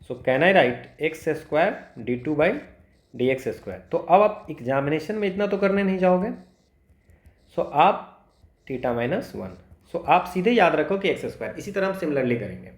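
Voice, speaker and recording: A man's voice: a little high, lively intonation, moderate pace. An Indian man teaching maths informally, explaining step by step, switching between Hindi and English with frequent check-in tags. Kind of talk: informal exam lesson, explaining worked equations step by step. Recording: echoey, roomy sound.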